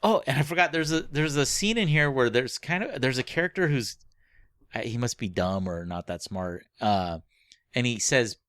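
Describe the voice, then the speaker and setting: A man co-hosting a podcast, chatting casually about books in a rambling, halting way that often trails off mid-phrase. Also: mid-range voice, animated, fairly bright tone, dry recording, clean studio sound. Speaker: podcast co-host. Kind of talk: casual book-club chat.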